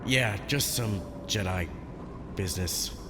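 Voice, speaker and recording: An actor in an audio drama playing an easygoing, wry and slightly harried military general. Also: male voice, lowish voice, takes it slowly. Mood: casual, teasing. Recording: echoey long reverb, clearly noisy.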